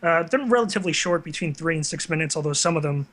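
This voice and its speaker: Bright-voiced man chatting as interview guest. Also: on the high side, expressive, fast. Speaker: guest, online video series creator. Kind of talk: casual interview chat.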